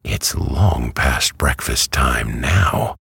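A man's voice: rumbling low, animated, very slow, vocal fry, a bit bright, husky, dry recording, studio quality, heavily acted. A man reading a storybook aloud who voices a polite, earnest little girl. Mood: eager. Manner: earnest, well-mannered, eager.

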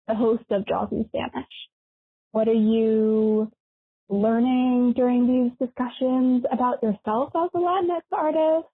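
Brief: caller, woman, earnest and curious, slow delivery, tinny dry phone line. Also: fairly high pitch, slightly rough, no echo. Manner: curious, earnest, questions in a string. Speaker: caller leaving a voicemail question. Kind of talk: recorded voicemail question.

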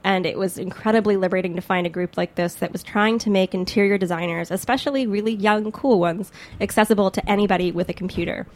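A woman's voice: mid-range voice, quick pace, few pauses. An American woman hosting an interview podcast in a chatty, enthusiastic way. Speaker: podcast host.

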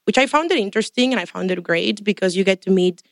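A woman's voice: medium pitch, fast, no room sound, studio quality. A young woman from Mexico, chatting on a podcast as a guest in a chatty, detailed, upbeat way. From Tampico, Mexico. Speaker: guest, MBA student. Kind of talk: casual podcast chat.

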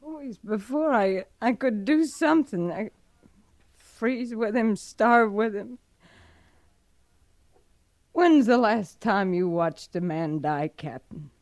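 An actress playing a grieving, bitter and stubborn young frontier widow in a radio western, with a drained, dramatic delivery. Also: female voice, medium pitch, slow pace, pauses often, a little mellow, no echo. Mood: anguished. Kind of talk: 1950s radio western acting.

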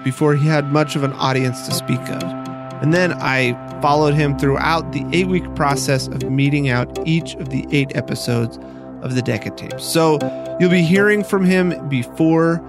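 Guy hosting a podcast, kinda higher voice, measured scripted delivery, music behind him. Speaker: podcast host and interviewer.

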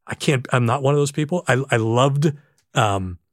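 An American man in his fifties telling stories in a casual interview, animated and self-deprecating, with stammered starts. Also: medium pitch, normal speed, no room sound, studio quality. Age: 50s. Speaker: podcast guest, sports arena announcer. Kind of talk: casual anecdotal interview chat. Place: in a room with the hosts.

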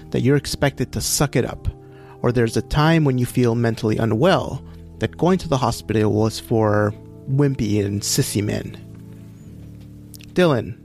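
A man hosts a podcast, reading from a script. His voice sits at an average male pitch, with faint music underneath. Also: darkish tone. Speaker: podcast host.

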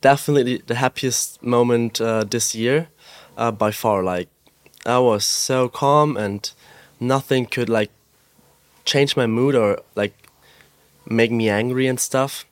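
A young man from Austria, a guest in a podcast interview, talking in a relaxed way with lots of "like" and "um" and an easy laugh. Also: mid-range voice, no echo, studio quality. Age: young adult. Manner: relaxed, fills pauses with "like" and "um", laughs easily. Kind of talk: casual interview chat. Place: pop-up store.

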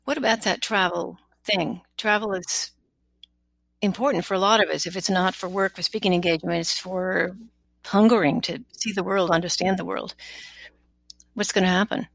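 A woman's voice: on the low side, fairly even intonation, normal speed, creaky, a bit bright, no room sound. A woman interviewing a guest in a conversational way, feeling out her questions as she thinks aloud. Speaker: podcast interviewer.

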